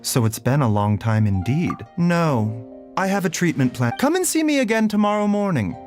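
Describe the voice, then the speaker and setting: A man voicing a calm, courteous physician in an anime-style game, speaking softly, formally and deliberately. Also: fairly high pitch, faint music behind. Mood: composed, thoughtful.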